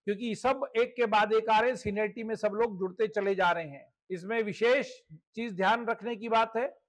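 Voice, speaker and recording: A man's voice: very high-pitched, low-quality recording. A man with an Indian accent giving a promotional slide presentation, explaining step by step and dropping in English terms. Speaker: presenter of a membership scheme.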